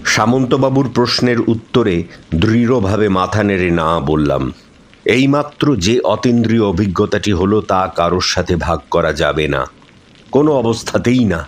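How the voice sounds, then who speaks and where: A man's voice: fairly low pitch, slight background noise. A man giving a dramatic scripted first-person narration of a ghost story.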